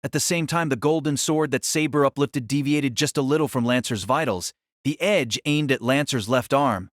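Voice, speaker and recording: A man narrating a fantasy audio drama in a steady, even storytelling manner. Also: medium pitch, normal speed, dry recording, clean studio sound.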